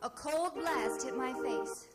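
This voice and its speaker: High-voiced, wisecracking lady detective, old radio. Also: slow pace. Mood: wry narration. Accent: American. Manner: wry, hard-boiled, theatrical delivery. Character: wisecracking, self-assured female private detective. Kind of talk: 1940s radio detective drama, first-person narration and dialogue. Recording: old radio recording, reverberant, loud music.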